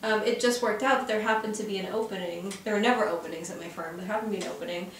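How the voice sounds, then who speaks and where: A chatty young woman from Arizona talks through an interview, often pausing on fillers. Her voice is heard from some distance away from the mic. It bounces around a very reverberant office, with faint noise underneath. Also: medium pitch, a bit bright. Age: young adult. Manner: chatty, lots of fillers, laughs easily. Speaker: guest. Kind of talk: casual interview conversation. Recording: very echoey office from far off.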